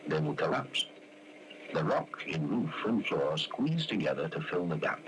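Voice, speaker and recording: A man reads scripted narration for a 1970s instructional film in a measured, explanatory manner. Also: extremely low pitch, big pitch swings. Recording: noisy old film soundtrack.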